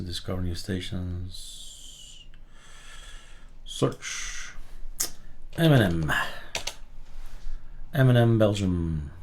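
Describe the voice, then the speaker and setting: A man hosting a casual software tutorial, thinking aloud and muttering in short fragments as he works. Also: deep voice. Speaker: tutorial host. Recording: background noise with keyboard typing and clicks. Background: keyboard typing, mouse clicks.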